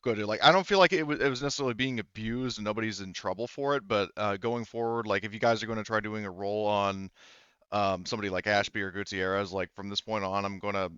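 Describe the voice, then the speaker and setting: A man's voice: on the low side, fairly even intonation, fast talker, a bit bright, clean tone, dry recording. A man working as game master, talking casually out of character and often interrupting himself as he rambles, on slightly dull audio.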